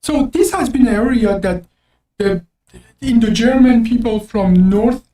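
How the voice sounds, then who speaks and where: A woman's voice: a little high, unhurried, warm tone. A woman co-hosting a casual podcast, a native Azeri Turkish speaker who questions and explains. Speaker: podcast co-host.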